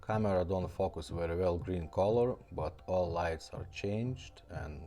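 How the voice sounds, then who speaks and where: Deep-voiced man giving a casual demo. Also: measured pace, dark tone, faint music behind. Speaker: repair shop presenter demonstrating a product. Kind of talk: casual product demonstration, explaining step by step.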